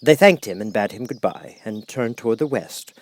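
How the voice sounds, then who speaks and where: A man reading a children's audiobook as narrator, with expressive, dramatic storytelling. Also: mid-range voice, moderate pace.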